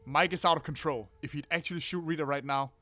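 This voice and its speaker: A man hosting a game playthrough, reading narration aloud and commenting in a casual, expressive, sweary way. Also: fairly high pitch, quick pace. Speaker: gaming video host. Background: faint game music.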